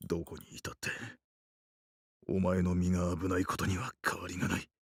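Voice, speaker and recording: A man's voice: sits low, completely flat delivery, very fast, husky, dry recording, studio quality. An actor playing a stern, guarded male doctor in a Japanese visual novel, muttering and restrained. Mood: cold, stern.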